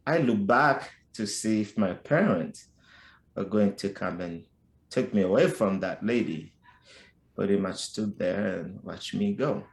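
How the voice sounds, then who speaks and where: A middle-aged man with a Haitian accent, telling his life story as a podcast guest in a slow, halting way with frequent restarts. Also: on the low side, echoey room. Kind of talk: personal life story in conversation.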